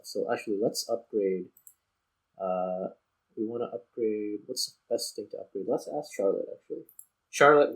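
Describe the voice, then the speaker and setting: A man with a fairly low, husky voice hosts a gaming video. He talks casually over his own play, rambling and thinking aloud as he works through each choice. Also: rough recording. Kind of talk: casual let's play commentary.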